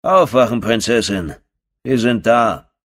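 A crude, cocky thug from a horror audiobook, acted out by a male reader. He talks in a mocking, coarse way with a husky voice, pushed up high and loud above the reader's usual talk. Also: a little slow. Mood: jeering. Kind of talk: audiobook horror story dialogue.

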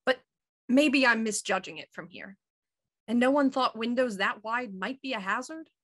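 Actress as Victorian inspector for radio drama: shrewd, probing, authoritative, fairly high voice. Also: female voice, animated, normal speed, fairly bright tone. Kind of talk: radio play acting over video call.

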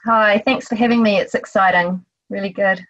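A woman's voice: mid-range voice, fairly even intonation, normal speed, fairly bright tone, no room sound. Middle-aged New Zealand woman, dull dry recording. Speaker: therapist, interview guest. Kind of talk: conversational interview answers.

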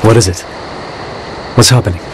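An actor playing a stern, resolute holy warrior in a video game, grave and terse. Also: male voice, on the low side, fairly quick, noisy background, natural voice. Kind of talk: dramatic video game cutscene acting.

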